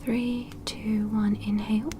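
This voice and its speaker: A woman playing a gentle, attentive alien medical examiner in an ASMR roleplay, whispering slowly and soothingly in a breathy, reassuring way. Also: mid-range voice, level tone, background noise, natural voice. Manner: slow, soothing, reassuring, procedural.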